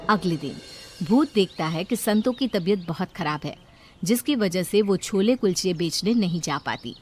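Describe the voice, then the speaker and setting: A woman's voice: on the low side, animated, moderate pace, slightly rough. A woman reading a scripted moral bedtime story as the narrator.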